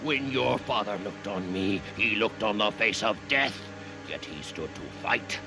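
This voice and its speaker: A man voicing a fierce, solemn warrior chieftain in an animated adventure, weighty and declamatory. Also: lowish voice, a little slow, over music. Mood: solemn respect. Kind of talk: animated adventure series acting.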